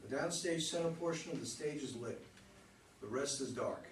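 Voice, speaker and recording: A man's voice: mid-range voice, moderate pace. Narrator for play reading: man, plain scripted delivery, roomy recording with faint noise. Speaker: stage directions reader. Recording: echoey room, distant mic, faint noise.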